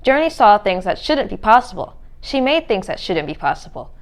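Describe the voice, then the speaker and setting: A woman's voice: mid-range voice, sing-song, normal speed. A woman reading a children's storybook aloud as narrator. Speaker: read-aloud reader.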